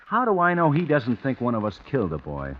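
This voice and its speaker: A wary husband in old radio drama. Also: male voice, medium pitch, wildly swooping pitch, fairly quick. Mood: skeptical. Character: wary, skeptical husband suspecting a trap. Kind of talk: old-time radio crime drama acting. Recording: muffled, noisy old radio drama recording.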